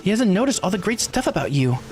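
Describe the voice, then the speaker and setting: High, very animated young game character acted by a man. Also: fast talker, soft background music. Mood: earnest encouragement. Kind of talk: visual novel dialogue read with expression.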